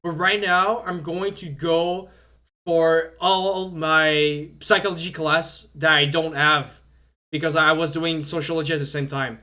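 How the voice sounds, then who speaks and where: A man's voice: on the high side, steady tone, a little slow, pushed and bright. A young man whose first language is French, talking casually on a live stream, rambling with lots of fillers and stumbling restarts.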